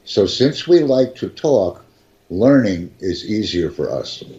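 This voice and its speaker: A man with a lowish, dark voice explains how to learn English, speaking slowly and enunciating each phrase with care. He repeats his main points like a patient teacher. The recording sounds dull and lo-fi. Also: very animated. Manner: slow, carefully enunciated, repeats key phrases. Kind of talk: slow, deliberate teaching talk.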